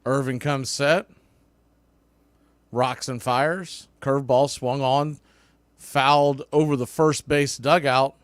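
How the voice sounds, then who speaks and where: A man's voice: mid-range voice, fairly even intonation, slow, long pauses, clean tone, dry recording. A man calling a baseball game play by play in clipped phrases that build on big plays. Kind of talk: live play-by-play commentary.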